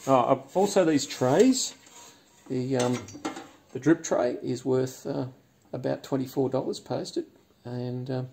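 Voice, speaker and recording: A man, talking casually and haltingly in a garage as host of his own channel. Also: mid-range voice, vocal fry, darkish tone, slight background noise. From Australia. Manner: rambling, hesitant with many fillers. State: hoarse after a night out. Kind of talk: casual vlog. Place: cluttered garage workshop.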